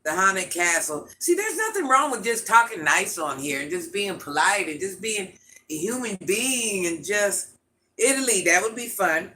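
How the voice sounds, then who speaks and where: Man, livestream host, grandmother persona, high voice, very bright tone, scratchy throat, chatty. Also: sing-song. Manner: chatty, reacts to comments with laughter and quick asides. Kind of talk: casual live chat answering viewer comments.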